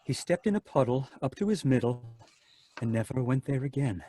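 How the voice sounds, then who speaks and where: A man's voice: mid-range voice, animated, normal speed, dark tone, no room sound, natural voice. A man playing a sinister doctor who turns out to be a nursery-rhyme killer, in an old-time radio drama read over a video call. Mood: nervous. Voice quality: creepy, sing-song when reciting rhymes. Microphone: home computer mic.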